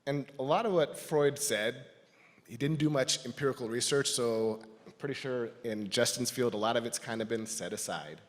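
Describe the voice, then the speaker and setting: Man, mid-pitched voice, lay church speaker, reflective personal talk, halting and thoughtful, long hall reverb. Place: large indoor church space.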